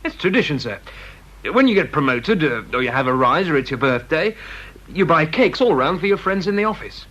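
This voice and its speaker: A man's voice: fairly high pitch, sing-song, a little fast, fairly bright tone. An actor in a radio drama playing a young male office assistant, affable, respectful yet lightly cheeky. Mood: chirpy. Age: young adult. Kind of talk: British radio drama acting. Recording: dull old radio recording, faint noise.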